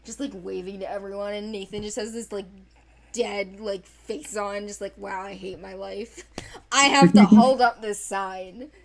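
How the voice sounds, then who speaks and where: A giggly, animated woman rambling casually as a co-creator talking about original characters. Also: mid-range voice, a little slow, poor audio. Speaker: creator of an animated series project.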